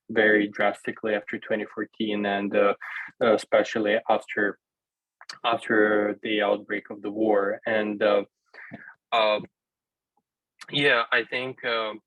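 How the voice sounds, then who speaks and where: Young Ukrainian man, low flat voice. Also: no echo. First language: Russian. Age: 20s. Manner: hesitant, many fillers and restarts. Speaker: panel guest. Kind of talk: conversational panel answer. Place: small room indoors. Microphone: laptop webcam video call. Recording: dull lo-fi video call audio.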